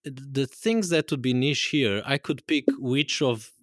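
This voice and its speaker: A man's voice: mid-range voice, unhurried, no room sound, clean studio sound. A man from Portugal with a Portuguese accent, chatting casually on stage at a live event, rambling and correcting himself as he goes. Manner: rambling, self-correcting, laughs often. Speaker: guest musician. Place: live event room with audience.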